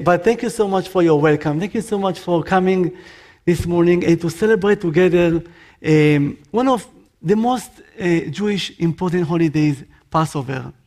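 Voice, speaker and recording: A man with an Israeli accent preaches a sermon with earnest, expressive delivery. His voice sits notably high for a man. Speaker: missionary.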